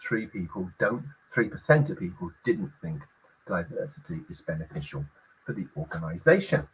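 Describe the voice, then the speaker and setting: A middle-aged man hosting a business talk show, speaking with a croaky voice as he recovers from illness, hesitant with many fillers. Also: deep voice, sing-song, moderate pace, low-quality recording. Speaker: host.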